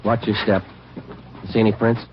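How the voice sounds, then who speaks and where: Terse deadpan male detective, played by a man, low voice, clipped, vintage radio drama, muffled broadcast. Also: fairly quick, noisy background, lightly acted. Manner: flat, matter-of-fact, clipped. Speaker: police detective and narrator.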